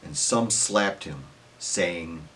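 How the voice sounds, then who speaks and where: A man narrating a dramatic scripture reading in an expressive, measured storytelling manner. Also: sits low, distant mic.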